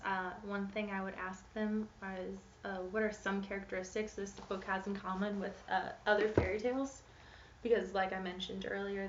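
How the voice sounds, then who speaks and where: Female teacher for an interactive picture-book read-aloud, expressive storyteller, echoey and slightly muffled room sound. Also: mid-range voice, distant mic, a little hiss or hum. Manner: expressive storytelling, posing questions to young listeners.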